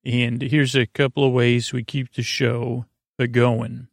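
A man hosting a bedtime podcast, speaking in a creaky voice, meandering and halting with lots of ums and repeated words as he lulls listeners to sleep. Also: mid-range voice, flat delivery, no room sound, clean studio sound. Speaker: podcast host. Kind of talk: rambling bedtime monologue to lull listeners to sleep.

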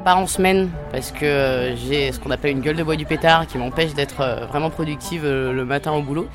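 Relaxed woman in her thirties, music under. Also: low voice, fairly quick. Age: 30s. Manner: relaxed, hesitant with fillers. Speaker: interviewee. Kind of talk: casual interview.

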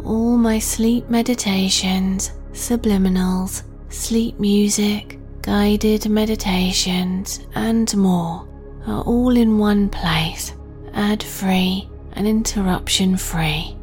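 A woman hosting a guided sleep meditation, speaking in a soft, breathy, soothing and deliberate way. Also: medium pitch, steady tone. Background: music.

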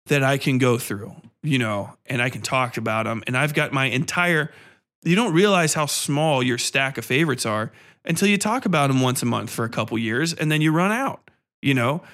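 A man's voice: mid-range voice, a bit bright. A man hosting a casual podcast, ranting bluntly and profanely in an exasperated, complaining way. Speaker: podcast host.

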